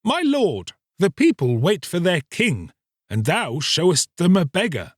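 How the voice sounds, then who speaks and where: A man's voice: high voice, huge pitch swings, unhurried, dry recording, studio quality, pitched above the performer's own voice, exaggerated voice. A man voicing haughty, scornful nobles at court in a storybook tale, with indignant, archaic speech. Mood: mocking.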